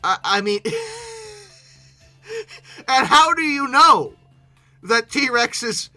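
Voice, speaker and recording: A YouTube host giving casual, animated reaction commentary with exasperated asides. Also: high-pitched, very slow, faint music behind.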